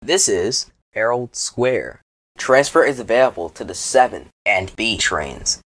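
A man's voice: lowish voice, animated, measured pace, a bit bright, dry recording. A man reading scripted subway announcements in a formal way, in segmented phrases.

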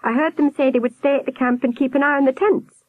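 A woman's voice: sits high, fairly even intonation, fast, no echo, narrow, tinny audio, pitched above the performer's own voice, close to an everyday voice. A woman voicing a chatty, unconcerned young boy in a children's story, blurting things out eagerly. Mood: blunt, chatty. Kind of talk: audiobook character voice.

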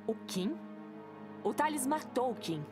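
A woman's voice: high-pitched, very animated, measured pace, music in the background. An actress playing a sarcastic, skeptical female space squadron member in an audio drama.

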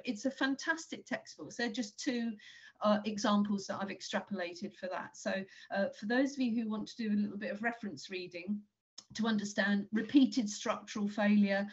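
A woman in her sixties giving a deliberate, articulate panel presentation in formal wording over a video call. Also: mid-range voice, slightly rough. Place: indoor room at home, video call. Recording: dull video-call audio.

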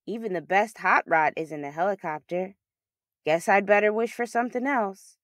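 A woman's voice: mid-range voice, normal speed, dry recording, clean studio sound, lightly acted. A woman voicing a shy, earnest third-grade boy with big dreams in a storybook. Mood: hopeful.

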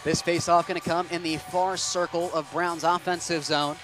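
A young man calling ice hockey play-by-play with rapid, steady narration that rises to excited shouts on goals, on a headset mic in an arena booth. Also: on the high side, music in the background. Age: young adult. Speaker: play-by-play announcer.

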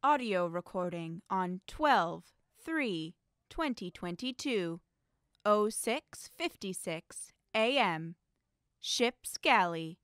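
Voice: medium pitch, a bit bright, clear, resonant tone, dry recording, clean studio sound. A woman playing an impassive spaceship log system, announcing in formal, impersonal phrases for an audio drama.

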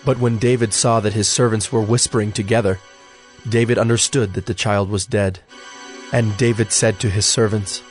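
Measured male narrator over soft music. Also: on the low side, fairly even intonation. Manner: measured, formal. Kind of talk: scripted scripture narration.

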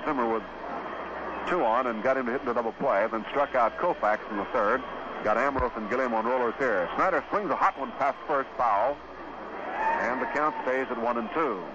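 An American man calls baseball play by play on 1950s radio. The recording is heavily noisy and dull, like an old broadcast from the ballpark. His voice sits at a middling pitch. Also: moderate pace.

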